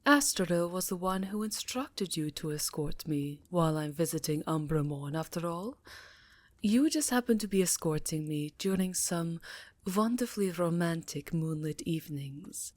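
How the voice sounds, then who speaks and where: A woman acts out an elegant vampire countess in a low voice, close and dry. She murmurs formally and seductively to the listener as in roleplay ASMR, taking her time over each phrase with a breathy, theatrical touch. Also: darkish tone, no room sound. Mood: tender, flirtatious. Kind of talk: roleplay ASMR acting, intimate address to listener.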